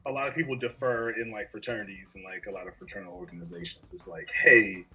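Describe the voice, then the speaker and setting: A man's voice: fairly low pitch, fairly even intonation, fast, sharp tone, faint music behind, poor audio. A young man chatting as co-host, outspoken and slangy. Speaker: podcast co-host. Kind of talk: casual chat.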